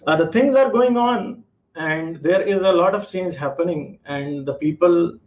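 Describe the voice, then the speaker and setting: A man's voice: fairly high pitch, a little slow. A man from Pakistan, calling in and explaining his views earnestly, with many fillers. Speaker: caller. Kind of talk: call-in discussion. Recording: muffled lo-fi call audio.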